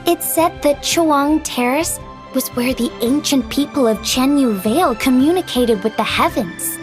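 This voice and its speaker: A woman voicing a gentle, hesitant young woman in a video game, speaking in a soft manner. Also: sits high, moderate pace, natural voice. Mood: startled, musing. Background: clear game music.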